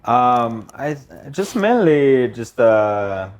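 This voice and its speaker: A man's voice: medium pitch, big pitch swings, extremely slow. A man in his thirties from China with a Chinese accent, speaking plain, slightly broken English as an interview guest. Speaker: guest, land investor. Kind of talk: casual interview.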